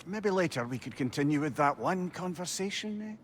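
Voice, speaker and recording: An actor playing an older, wry and talkative companion in a video game, cheeky but affectionate. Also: male voice, fairly high pitch, expressive, brisk, a little hiss or hum, natural voice. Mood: cheeky, fond. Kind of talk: video game cutscene acting.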